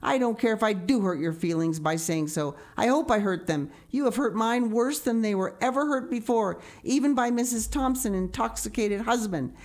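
Woman playing a fiery, high-pitched young orphan girl. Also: animated, moderate pace, hardly any pauses, a little mellow, close to an everyday voice. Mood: furious, hurt. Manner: vehement, dramatic outbursts.

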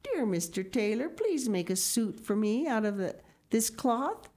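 A woman's voice: a little high, big pitch swings, a little slow, warm tone, voice pushed up. A woman voicing a polite little Swedish farm boy who asks sweetly for help in a storybook. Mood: pleading.

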